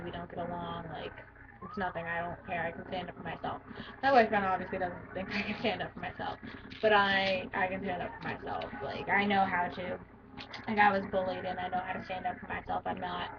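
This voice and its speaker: A teenage girl with a deep voice for a girl, talking bluntly in a rambling casual vlog with lots of fillers. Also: recorded from a distance, muffled recording, background noise. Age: teens. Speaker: vlogger.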